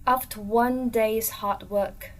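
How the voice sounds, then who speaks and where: A woman's voice: on the high side, steady tone, slow pace. Woman reading documentary voice-over, kinda distant, music under it. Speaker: documentary narrator. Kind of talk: scripted narration.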